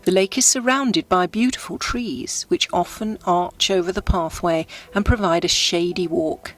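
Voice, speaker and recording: A woman with a slightly low voice leads a guided meditation. She reads her scripted scene gently and without hurry, painting it like a storyteller, with faint music playing behind her. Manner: gentle, unhurried, descriptive storytelling. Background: background music.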